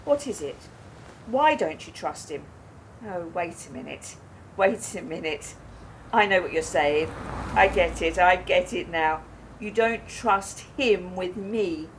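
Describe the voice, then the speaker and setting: An actress playing a wry, sharp, defiant woman in a naturalistic radio play. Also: on the low side, lively intonation, takes it slowly, slight background noise, poor audio. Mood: sharp, challenging. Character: adult woman, wry, sharp, defiant, confessing to her partner. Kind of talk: naturalistic radio play acting, dialogue and monologue.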